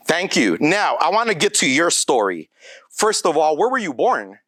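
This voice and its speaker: A man's voice: fairly high pitch, sing-song, moderate pace, a bit bright, no echo, studio quality. A man interviewing a guest with direct, plain questions.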